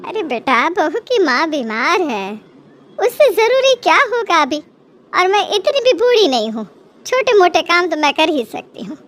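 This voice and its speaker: A woman plays an elderly cartoon mother-in-law with a high, lively voice that swings widely. She talks slowly and syrupily, milking her self-pity like a martyr. There is noise behind her. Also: exaggerated voice. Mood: sweetly caring. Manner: melodramatic, self-pitying, syrupy. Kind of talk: Hindi cartoon moral story acting.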